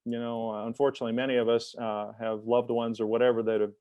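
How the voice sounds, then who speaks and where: A man giving a technical webinar presentation as an invited expert, talking in a halting way with many fillers and restarts. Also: mid-range voice, level tone, warm tone, smooth, no echo, highs cut off. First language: English.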